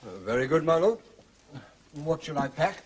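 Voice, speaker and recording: An actor playing a deferential, unflappable English manservant in a period radio drama, speaking formally with measured, discreet politeness. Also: male voice, fairly high pitch, very animated, rough recording.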